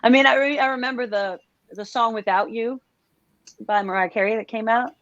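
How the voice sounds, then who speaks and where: A woman's voice: fairly high pitch, brisk, no room sound. A woman from Denmark, a country singer, chatting casually as an interview guest with frequent laughs. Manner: relaxed, laughs often, trailing asides. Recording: dry, dull lo-fi sound.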